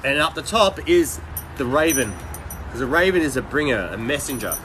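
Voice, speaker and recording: A middle-aged man livestreaming, chatting casually with viewers in a blunt, rambling, halting way. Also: mid-range voice, expressive, background noise. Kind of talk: casual live chat with viewers.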